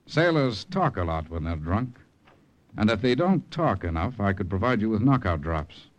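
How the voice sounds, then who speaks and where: An actor playing a calm American undercover agent in 1940s radio style, measured, confiding and reassuring. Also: male voice, lowish voice. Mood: persuasive. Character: calm, steady American undercover spy, trusting and persuasive.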